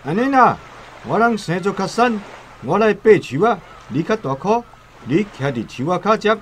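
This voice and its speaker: A man's voice: sits high, sing-song, normal speed, slight background noise. A man with a Taiwanese accent playing a skinny, boisterous soldier villain in a radio drama.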